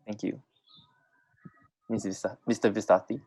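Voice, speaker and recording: Deep-voiced man moderating, dry sound. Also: flat delivery, normal speed, mellow, breathy edge, dry recording. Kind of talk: polite semi-formal panel moderation. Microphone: online video call.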